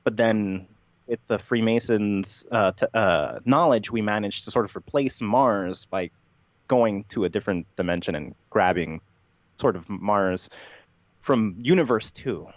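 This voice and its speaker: Male podcast guest, lowish voice, rambling and matter-of-fact with halting phrasing, slightly dull recording. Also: dry recording. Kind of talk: rambling interview storytelling.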